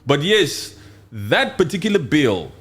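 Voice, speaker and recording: A man from Congo whose first language is Swahili, talking politics in an animated, joking way. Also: a little high, unhurried, husky. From Democratic Republic of Congo. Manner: animated, joking, bursts of loud exclamations. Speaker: show host. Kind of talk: casual political chat.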